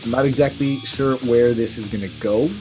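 A man co-hosting a podcast in casual chat, his voice raspy from a weekend of yelling, rambling and trailing off into mumbles. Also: medium pitch, steady tone, moderate pace, over music. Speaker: podcast co-host.

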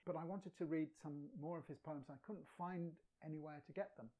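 A man from England recounts his story as an interview guest, easygoing and chatty. His voice is mellow and warm, and he breaks into laughter as he tells his anecdotes. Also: a little high, steady tone, a little fast, low-quality recording. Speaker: guest poet and translator. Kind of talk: casual storytelling.

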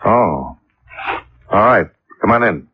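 A man's voice: lowish voice, lively intonation, takes it slowly. An actor playing a tough, skeptical male investigator in an old-time radio detective drama, speaking in a terse, businesslike way. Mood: guarded. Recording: muffled, hissy old radio recording.

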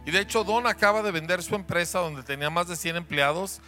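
Mexican church pastor, male, lively, sharp-toned, high-pitched; playful host who talks to the crowd. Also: brisk, soft background music. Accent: Mexican Spanish. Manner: warm, joking, asks the audience questions. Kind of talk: church introduction to the congregation.

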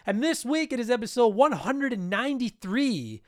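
A man's voice: extremely high pitch, very animated, no echo, studio quality. A man in his thirties hosting a retro gaming podcast, stuffy from allergies, rambling and swearing casually. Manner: fast, rambling, profane. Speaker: podcast host. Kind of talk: casual podcast intro monologue.

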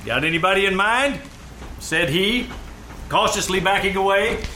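An actor playing a wry, skeptical tavern waiter and sidekick with sardonic, quippy delivery in old-time radio comedy. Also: male voice, high-pitched, expressive, moderate pace, bright, forceful tone. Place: radio theater stage. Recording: live stage show, faint noise.

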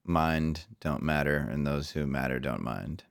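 A man's voice: very deep voice, measured pace, vocal fry. A man co-hosting an advice podcast, chatting casually with a dry, wry manner and reading out listener questions. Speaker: podcast co-host.

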